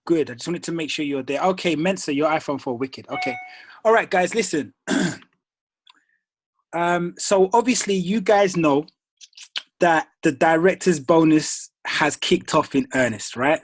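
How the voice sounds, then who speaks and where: Casual man leading a motivational group call, higher voice, dry close recording. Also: slightly rough, no room sound. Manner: casual, calls people bro and dude. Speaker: team leader hosting a group call.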